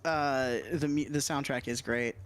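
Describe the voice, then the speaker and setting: A man's voice: medium pitch, fairly even intonation, measured pace, smooth. A man chatting casually on a gaming livestream and reading out game text, with an easygoing, jokey, slangy manner. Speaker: co-streamer and guest voice actor. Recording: music playing behind the voice.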